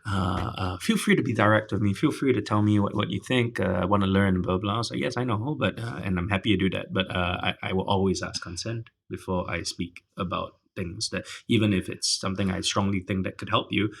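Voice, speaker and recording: A man who teaches singing, chatting casually as a guest with a boomy, projecting voice and a loud, playful manner. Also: a little fast, gravelly, hardly any pauses, husky. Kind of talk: casual conversation.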